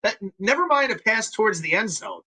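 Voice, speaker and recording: A man hosting a live sports talk stream, chatting in an animated way and greeting viewers as he goes. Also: high voice, a little fast, breathy edge, poor audio. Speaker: sports talk show host.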